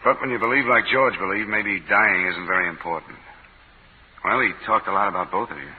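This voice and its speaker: Laconic American ex-soldier played by a man, low-pitched, weary and understated, thin 1940s radio drama recording. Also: a little fast, narrow, tinny audio, a little hiss or hum, natural voice. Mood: subdued. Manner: terse, understated. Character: weary, laconic war veteran, former army major.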